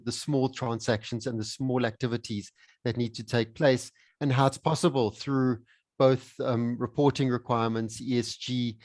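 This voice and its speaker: A man moderating a webinar panel, summing up points and handing over to speakers, often halting and repeating words. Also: medium pitch, darkish tone, no echo.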